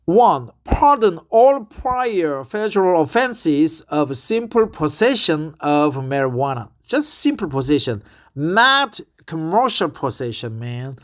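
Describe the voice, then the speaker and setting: Slow, halting man with a Korean accent. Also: fairly high pitch, very animated. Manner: halting, repeats phrases to practice them. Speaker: English learner. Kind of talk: reading news aloud and commenting.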